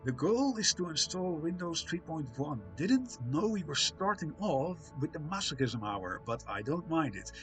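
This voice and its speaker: A man live-streaming, chatting casually with viewers, reading chat aloud with a soft, soothing voice and self-deprecating humour. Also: a little high, sing-song, normal speed, few pauses, faint music behind.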